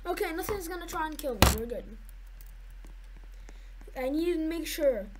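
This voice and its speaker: A woman's voice: sits high, lively intonation, normal speed, noisy background. A woman giving nervous, jumpy commentary to her viewers while playing a horror game. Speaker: gaming YouTuber.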